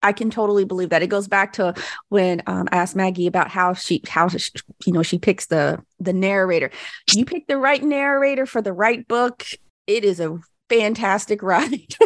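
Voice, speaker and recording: A woman's voice: medium pitch, moderate pace, no echo. A woman hosting a podcast interview, friendly and affirming as she leads the talk, recorded on a studio microphone. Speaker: podcast host.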